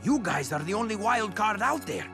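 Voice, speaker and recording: An actor voicing a cocky, gossipy talking bird who works as a race commentator in a video game. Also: male voice, high-pitched, big pitch swings, moderate pace, over music. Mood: conspiratorial. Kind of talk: video game cutscene acting.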